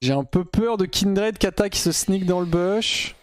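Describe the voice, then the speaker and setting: Young man, slightly high voice, live gameplay chatter, thinking out loud, gamer slang, headset mic at home. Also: a little slow. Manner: chatty, thinks aloud mid-game, slangy with English gamer terms. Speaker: streamer. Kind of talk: casual live gameplay commentary. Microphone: gaming headset.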